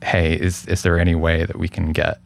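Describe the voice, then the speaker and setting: A man's voice: sits low, level tone, a little fast, gravelly. A man as an interview guest, explaining his production work casually and thoughtfully, often repeating words as he goes.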